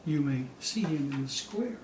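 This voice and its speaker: A man giving a theatrical poetry recital, dramatic and suspenseful, with drawn-out phrases moving between hushed dips and loud peaks. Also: fairly high pitch, animated. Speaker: poetry reader. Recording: distant, echoey room with faint hiss.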